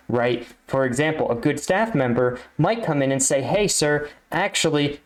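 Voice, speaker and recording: A man with a medium-pitched voice delivers informal reaction commentary in the role of a military veteran. He explains things didactically, often adding rhetorical checks for agreement.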